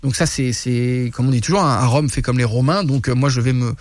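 Guy in a studio interview, lively storytelling, lots of restarts, dry close mic. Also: mid-range voice, brisk, dry recording. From France. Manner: animated, many restarts and laughs. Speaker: guest, reporter and influencer. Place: radio studio.

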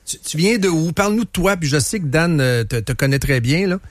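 A man with a Québécois French accent hosting a talk radio show, joking with guests and slowing down to stress his points. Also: on the high side, lively intonation, dry recording. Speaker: radio host. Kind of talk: casual talk radio banter. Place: radio studio.

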